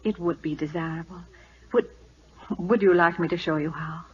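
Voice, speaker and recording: An actress playing an earnest, spirited governess at a royal court in a 1940s radio drama, polite and well-spoken. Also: female voice, fairly low pitch, fairly even intonation, normal speed, breathy edge. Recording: dull lo-fi old radio recording.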